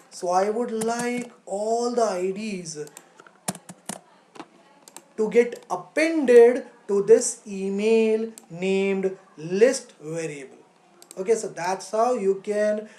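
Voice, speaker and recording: A woman gives an online coding lesson in a soft-edged, mellow voice. She explains step by step, often asking a question and then answering it. The recording has a noticeable room echo. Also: mid-range voice. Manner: instructional, poses questions then answers them, checks with "right?". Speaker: coding teacher.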